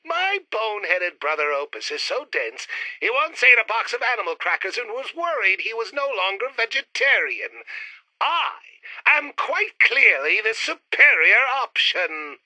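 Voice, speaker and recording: An actor voicing an arrogant, squabbling alien scientist twin, sneering and excitable, in a retro sci-fi radio play. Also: male voice, sits high, unhurried, no room sound, full character voice, low-quality recording. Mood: boastful.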